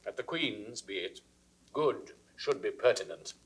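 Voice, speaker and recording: A man's voice: lowish voice, lively intonation, measured pace, a bit bright, heavily acted, rough recording. An actor playing a jealous, suspicious king with a British accent, in theatrical classical Shakespearean style for an audio drama. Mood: brooding suspicion. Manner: theatrical, crisply declaimed, dropping into whispers. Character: jealous, suspicious king brooding over his wife's imagined betrayal. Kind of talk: classical Shakespearean stage acting, 1960s audio recording.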